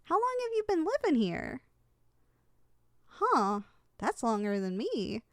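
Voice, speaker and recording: A woman's voice: sits high, wildly swooping pitch, slow pace, dry recording, clean studio sound, exaggerated voice. A woman playing a kind, soothing giantess in an ASMR roleplay. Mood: curious, reassuring. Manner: soothing, folksy, gently reassuring. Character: kind, gentle young giantess homeowner comforting a tiny person.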